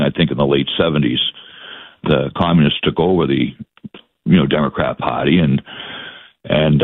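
A man in his sixties from the South Shore of Boston with a Boston accent, talking politics as a guest in a halting way, with many fillers and restarts. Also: rumbling low, creaky, breathy edge, no room sound. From Massachusetts, South Shore of Boston. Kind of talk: political talk interview. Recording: dry, phone-like line.

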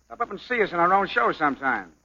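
An actor playing a cocky, jealous American showbiz dancer, sneering and slangy, in an old film drama. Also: male voice, high-pitched, very animated, fast talker, sharp tone, lo-fi sound, full character voice.

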